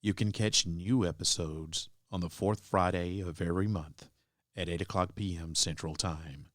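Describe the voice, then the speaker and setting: A man's voice: low-pitched, no echo, clean studio sound. A man hosting a podcast, reading a scripted introduction to old-time radio drama. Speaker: podcast host.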